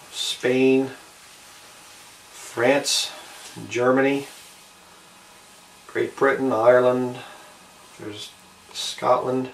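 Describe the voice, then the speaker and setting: Casting: man, medium pitch, casual amateur narrator, unhurried and halting, echoey room with faint noise. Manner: unhurried, halting, trailing off between short phrases. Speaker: amateur video maker showing a collectible. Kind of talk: casual show-and-tell narration to camera. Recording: roomy, distant mic with faint background noise.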